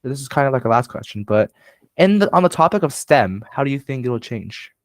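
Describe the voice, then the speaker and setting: A young man hosting a podcast and asking interview questions in a relaxed way, with frequent "like" and "right?". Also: medium pitch, a little fast. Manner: relaxed, uses fillers like "like" and "right?". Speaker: podcast host.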